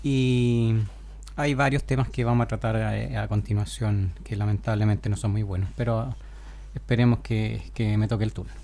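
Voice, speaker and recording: A man, a businessman speaking as a panelist on a radio show, measured and sober. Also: on the low side, steady tone, creaky, mellow. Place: radio studio.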